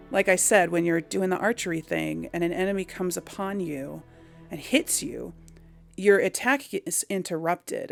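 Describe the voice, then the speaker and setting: A woman talks through a video game in a casual, musing manner. Her voice sits slightly low with a noticeable creak, and she hesitates as she thinks out loud. Quiet music plays underneath. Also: dry recording. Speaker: video game let's player.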